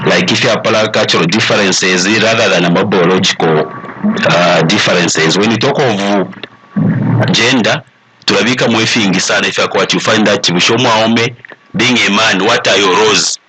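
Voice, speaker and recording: A man with a Zambian accent speaking as an expert panelist, explaining deliberately and switching between two languages. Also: on the low side, noisy background. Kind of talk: explanatory talk mixing English and Bemba.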